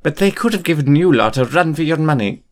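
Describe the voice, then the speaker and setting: A man reading an audiobook, voicing a stern older female professor with a crisp, clipped, prim delivery. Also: on the high side, expressive, a little fast, voice pushed up, lightly acted. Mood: exasperated. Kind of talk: audiobook character voice.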